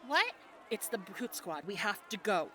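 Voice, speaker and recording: A woman's voice: mid-range voice, big pitch swings, unhurried, bright, forceful tone, slight background noise. A woman playing an urgent, commanding guide who steers companions away from danger in a fantasy audio roleplay.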